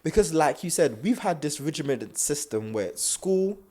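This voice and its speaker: Halting, thoughtful young man. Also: fairly high pitch, sing-song, mellow. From South London, England. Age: young adult. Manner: thoughtful, halting, stutters, many fillers. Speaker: podcast co-host. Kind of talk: casual chat.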